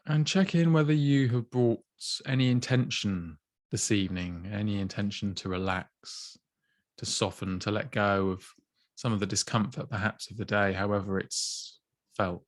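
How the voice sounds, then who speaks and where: A man gently guiding a body scan meditation, slow and soothing with long pauses. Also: fairly low pitch, steady tone, no echo, studio quality. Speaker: mindfulness teacher.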